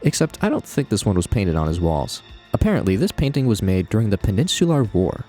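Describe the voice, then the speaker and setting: A man's voice: low voice, dark tone. A man hosting a video essay, narrating in a conversational commentary style with wry asides.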